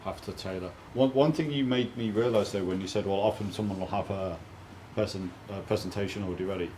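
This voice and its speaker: Lowish-voiced man facilitating a discussion. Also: quick pace, a little mellow, poor audio. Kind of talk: casual group discussion. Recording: faint room noise.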